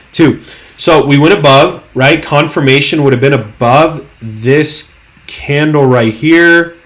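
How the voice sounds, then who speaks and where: A young man with a hoarse, worn voice hosting a trading channel, talking through stock charts in a hyped, slangy, rambling way full of fillers. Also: a little high. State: hoarse, losing his voice. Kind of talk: casual screen-share market commentary.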